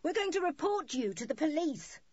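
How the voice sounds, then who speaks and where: A woman voicing an angry, bossy scheming woman crook from a children's storybook, in a sharp, commanding manner. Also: mid-range voice, sing-song, moderate pace, dry recording, muffled recording. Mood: angry accusing.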